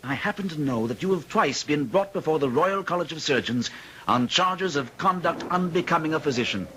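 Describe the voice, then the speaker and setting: An actor playing a stern, accusing manor gentleman in a radio drama, speaking formally and with commanding deliberation. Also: a little high, lively intonation, fairly quick. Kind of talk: old-time radio drama acting. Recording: noisy old radio drama recording.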